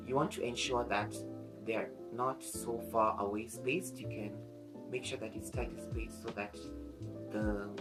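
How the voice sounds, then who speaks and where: A man giving an easygoing, explanatory craft tutorial in a casual style. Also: mid-range voice. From Kenya. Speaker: DIY craft vlogger. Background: background music with guitar.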